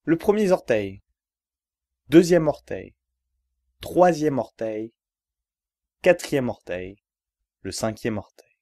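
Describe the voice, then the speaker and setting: A man giving guided yoga relaxation instructions in a measured, repetitive, step-by-step manner. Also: medium pitch, very animated, dark tone, no room sound, clean studio sound.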